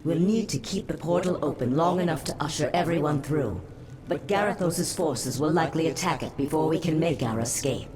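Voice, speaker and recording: An actor voicing a cunning, imperious serpentine creature in a fantasy game, with a theatrical, formal and portentous delivery. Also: male voice, on the high side, a little fast, darkish tone, a little hiss or hum, lightly acted. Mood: urgent. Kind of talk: fantasy video game voice acting.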